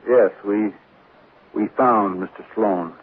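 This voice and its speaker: An actor playing a polite, probing sheriff in a 1940s radio drama, measured and slightly hesitant. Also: male voice, fairly low pitch, breathy edge. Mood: courteous. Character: courteous, probing small-town sheriff. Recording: old radio, narrow band, faint noise.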